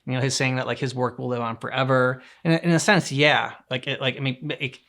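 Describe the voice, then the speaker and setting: A man co-hosting a game reaction show, chatting casually in a halting, loosely worded way as he thinks aloud. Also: medium pitch, fairly even intonation, fairly bright tone. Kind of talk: casual chat.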